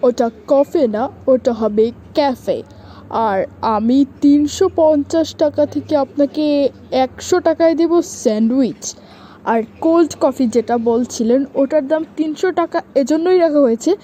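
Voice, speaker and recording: A woman's voice: high-pitched, mellow, natural voice. A woman voicing a polite but firm cafe server in a cartoon, explaining things formally. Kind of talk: cartoon skit dialogue.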